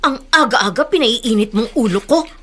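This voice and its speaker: A high-pitched, sharp Filipino woman boss in a radio drama. She is stern, imperious and short-tempered, and her voice swings widely. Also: animated, normal speed. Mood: angry, scolding. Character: short-tempered, bossy woman employer scolding her gardener.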